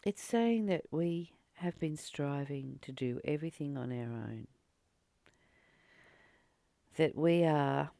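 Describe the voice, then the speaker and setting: Woman, spiritual teacher, gravelly fry, close dry sound, earnest and deliberate delivery. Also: deep voice, warm tone, no room sound. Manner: earnest, deliberate, with frequent pauses and emphatic repetition. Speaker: spiritual teacher and channel host. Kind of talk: spiritual teaching, reading a text with informal commentary.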